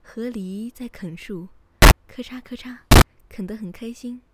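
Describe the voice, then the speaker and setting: A woman's voice: a little high, unhurried, heavy background noise. A woman radio host reading a scripted bedtime story in a soothing narration style.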